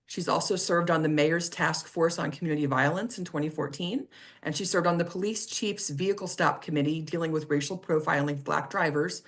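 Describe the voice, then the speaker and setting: A woman hosting a club meeting, reading a friendly introduction of the guest speaker with small self-corrections. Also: sits low.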